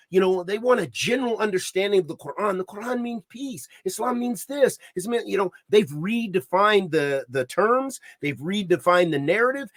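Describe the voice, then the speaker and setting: Emphatic man, brightish voice, dry sound. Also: high-pitched, no room sound. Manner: emphatic and preachy, with frequent restarts and repetition; peppers speech with Arabic religious terms. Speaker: podcast co-host. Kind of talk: impassioned podcast discussion.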